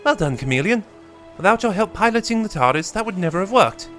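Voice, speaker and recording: A man reading aloud, voicing a brisk, kindly male time traveller in a raised, lively voice. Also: sits high, faint music behind, voice pushed up.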